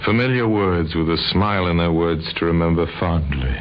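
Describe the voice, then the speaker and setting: A man narrating an old-time radio crime drama in a grave, deliberate, dramatic manner with a sardonic edge. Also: low-pitched, natural voice. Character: grave, sardonic male narrator of murder cases. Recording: dull, noisy old radio recording.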